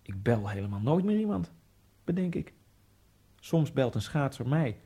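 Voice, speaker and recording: A gravelly, brooding lonely skater. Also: male voice, medium pitch, big pitch swings, normal speed, dark tone. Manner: wry, brooding inner monologue. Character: lonely immigrant man, obsessive speed skater. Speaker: first-person narrator of a radio play. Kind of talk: radio drama monologue.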